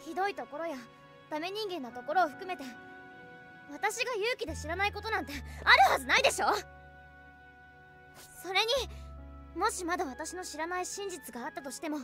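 A woman's voice: extremely high pitch, lively intonation, brisk, long pauses. A woman playing a hot-tempered but devoted teenage catgirl in an anime audio drama, scolding and flustered. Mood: earnest, flustered. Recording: music behind the voice.

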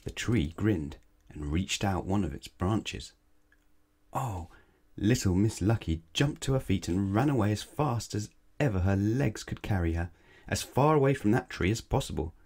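A man's voice: lowish voice, expressive, normal speed, a little mellow, slightly rough. A man reading a children's bedtime story aloud, dramatically, stretching and stressing words. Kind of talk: expressive read-aloud bedtime narration.

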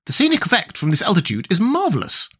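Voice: mid-range voice, huge pitch swings, fast talker, fairly bright tone, slightly rough, pitched above the performer's own voice, heavily acted. A reader voicing a pompous, earnest, educated giant insect from a storybook.

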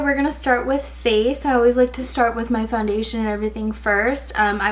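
A young woman in her twenties with a raspy, slightly hoarse voice, chatting casually through a makeup tutorial with lots of "um" and "like". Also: on the high side. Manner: casual, chatty, many fillers like "um" and "like". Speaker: beauty vlogger. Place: indoors, in front of a gold sequin backdrop.

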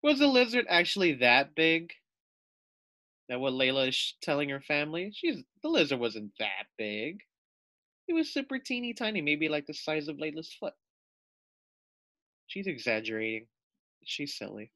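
A man reading a picture book aloud to young children as their teacher, deliberate and explanatory. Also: fairly high pitch, sing-song, pushed and bright, dry recording. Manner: deliberate, explanatory, asks the listeners questions.

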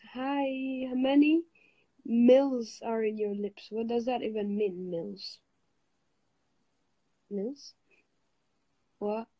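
A woman's voice: fairly high pitch, very slow, long pauses, no room sound, dull audio. A young woman from Switzerland, first language Italian, chatting casually with viewers on a phone livestream while lying on a bed. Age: young adult. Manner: greets viewers, switches languages, relaxed and chatty. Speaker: livestream host. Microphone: phone held at arm's length.

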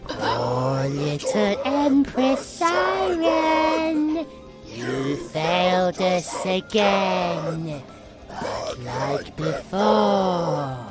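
A woman's voice: low-pitched, big pitch swings, extremely slow, exaggerated voice. A woman playing an eerie childlike demon girl in a horror audio drama, taunting in a sing-song, gleefully menacing way over music. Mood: mocking. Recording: music behind the voice.

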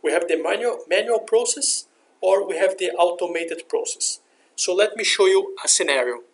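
A man narrating a software demonstration in a step-by-step, instructional way. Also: high-pitched, expressive, normal speed, creaky. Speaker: software demo presenter. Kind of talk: scripted software tutorial narration. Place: screen recording voice-over.

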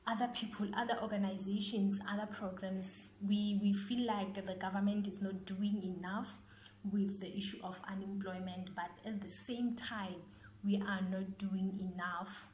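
A young woman with a South African accent speaking earnestly and deliberately, rephrasing as she gives motivational advice in an interview. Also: medium pitch, darkish tone, slight background noise. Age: young adult. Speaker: interviewed young entrepreneur, business owner. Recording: echoey, roomy sound.

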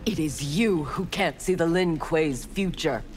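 A woman's voice: deep voice, a little slow, a little mellow. A woman playing a stern, loyal female ninja enforcer in a video game, cold and authoritative. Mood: cold, reproachful. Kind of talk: scripted video game dialogue. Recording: music behind the voice.

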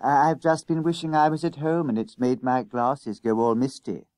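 A man's voice: mid-range voice, little pitch movement, normal speed, darkish tone, dry recording, voice pushed up, natural voice. A man voicing a timid, law-abiding, homesick small schoolboy in a storybook, hesitant and anxious. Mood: miserable. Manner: hesitant, anxious, stammering over words.

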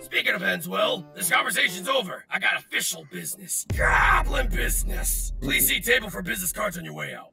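Guy doing a creaky deadpan anime warrior, blunt, real sharp forceful edge, bit far off. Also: sits high, brisk, few pauses, soft background music, exaggerated voice. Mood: intense. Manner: terse, deadpan. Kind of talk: anime parody dub.

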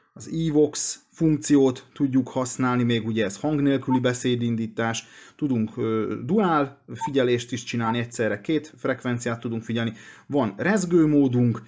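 Male presenter, mid-pitched voice, informal unboxing delivery. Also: a little mellow. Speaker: product presenter. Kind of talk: casual unboxing and product walkthrough.

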